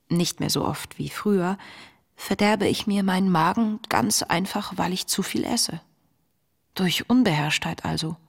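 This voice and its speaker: Nachdenkliche, ängstliche Frau, tiefe Stimme. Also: female voice, on the low side, gravelly. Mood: reflective. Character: introspective, anxious young woman reading her diary. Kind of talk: literary radio drama monologue.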